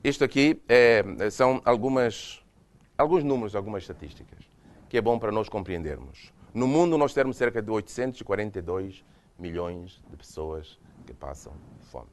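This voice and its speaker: A man's voice: fairly low pitch, animated, moderate pace. A man from Mozambique with a Mozambican Portuguese accent, giving a university lecture with slides and speaking hesitantly, with frequent restarts. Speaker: guest lecturer. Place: lecture hall.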